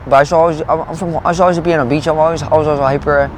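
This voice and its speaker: A man's voice: fairly high pitch, little pitch movement, very fast, background noise. A man in his late thirties telling his story in a street interview, rambling, swearing a lot and restarting his sentences. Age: 30s. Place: outdoors in a parking lot.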